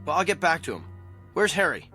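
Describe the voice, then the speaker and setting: An American actor playing a troubled, brusque office executive, terse and probing, with sardonic irony in a naturalistic drama. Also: male voice, fairly high pitch, very animated, a little fast, sharp tone, soft background music. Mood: curt.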